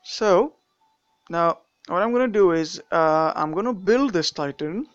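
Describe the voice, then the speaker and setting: A young man streaming a mobile game, his accent a mix of British and Indian. He rambles through the menus with plenty of ums and restarts, in a smooth, clean voice. The sound is dry but a little dull, like a modest home mic. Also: high-pitched, animated, a little slow, no room sound, faint music behind. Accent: mixed British and Indian. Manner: rambling, frequent fillers and restarts. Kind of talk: casual live-stream commentary.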